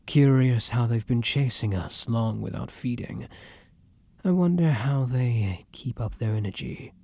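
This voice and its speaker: A man playing a tender, weary vampire lover in an intimate ASMR romance roleplay, speaking gently and courteously in a breathy voice that often drops into whispers. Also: medium pitch, a little slow. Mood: wary, soothing. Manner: gentle, courtly, earnest endearments.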